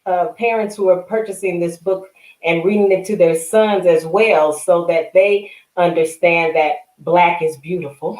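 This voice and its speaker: A woman guest on a radio talk show speaks earnestly about her children's book. Her voice is fairly low and she talks very slowly. The line sounds echoey. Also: steady tone, a little mellow. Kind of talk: radio interview.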